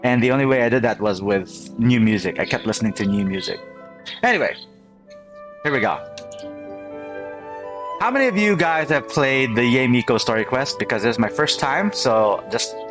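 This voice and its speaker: A man's voice: medium pitch, a bit bright. A man chatting casually to viewers as a game streamer, rambling and often repeating his phrases. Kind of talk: casual livestream chat to viewers.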